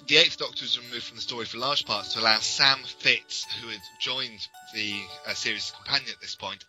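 A man co-hosting a podcast, giving detailed but casual explanations and opinions in a slightly halting way. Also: medium pitch, bright, forceful tone, low-quality recording. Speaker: podcast co-host.

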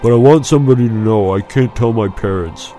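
A man's voice: medium pitch, brisk, dark tone, soft background music, close to an everyday voice. A man voicing an easygoing, smug young male pilot, knowing and emphatic, talking to a friend in an audiobook. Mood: solemn, confiding.

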